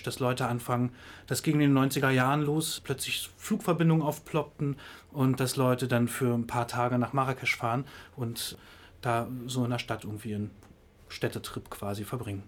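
A man answering interview questions, explaining thoughtfully with frequent hesitations and fillers. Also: medium pitch. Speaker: interviewed expert on tourism.

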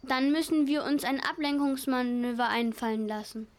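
Eager know-it-all young sibling female performer, very high voice, blunt delivery, children's audio drama. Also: moderate pace, lightly acted. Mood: cheeky, plotting. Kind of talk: children's radio play acting.

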